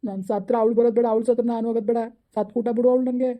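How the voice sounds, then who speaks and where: A woman performs a bitter, heartbroken parent in an amateur village melodrama, with a rural southern Karnataka accent. The delivery is rapid and fairly high, a lament built on emphatic repetition. It is recorded with a dull, lo-fi sound. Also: little pitch movement, close to an everyday voice. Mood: bitter, angry.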